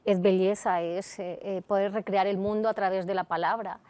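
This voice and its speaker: A woman's voice: medium pitch, steady tone, moderate pace, darkish tone, clear, resonant tone. A middle-aged woman from Colombia, a poet, talking thoughtfully in an interview with many fillers and restarts. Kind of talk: conversational interview.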